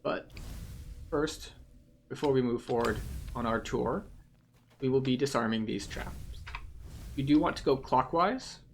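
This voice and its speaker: A man's voice: mid-range voice, measured pace, long pauses, a little hiss or hum. A man giving a methodical, explanatory guided commentary through a video game as the host.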